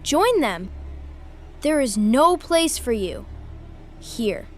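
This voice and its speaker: A woman playing a menacing, demon-possessed boy who speaks deliberately and ominously in a dramatic table read. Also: high voice, sing-song, heavily acted. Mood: cold command. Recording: noisy table-read room recording.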